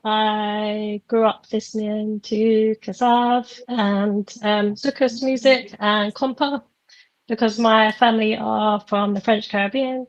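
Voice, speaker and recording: A woman's voice: medium pitch, dry recording. A woman talking casually as a guest on a panel show, through a slightly muffled microphone. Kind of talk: casual self-introduction.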